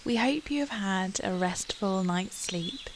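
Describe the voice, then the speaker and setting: A woman leading a guided meditation in a calm, soothing manner with long pauses. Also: mid-range voice, background noise. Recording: steady outdoor nature ambience with birdsong. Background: birdsong and nature ambience.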